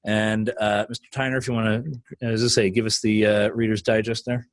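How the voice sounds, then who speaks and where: A man's voice: lowish voice, little pitch movement, brisk, no echo. A man hosting a podcast in casual chat, rambling and chatty, acting out little quotes as he talks. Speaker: podcast host.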